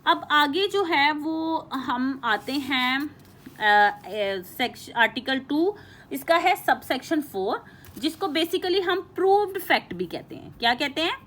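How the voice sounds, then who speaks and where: A woman teacher giving an informal lecture, explaining and reading out definitions in a halting, repetitive way with frequent check-in tags. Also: high-pitched, lively intonation, a bit bright.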